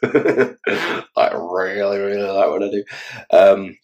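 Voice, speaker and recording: A tired Irish man in his forties with a Dublin accent, talking casually and haltingly to his phone camera in a small room. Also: on the low side, darkish tone. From Ireland. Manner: rambling, halting, self-mocking. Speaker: children's entertainer and vlogger. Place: small room indoors, in an office chair. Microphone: phone camera held close.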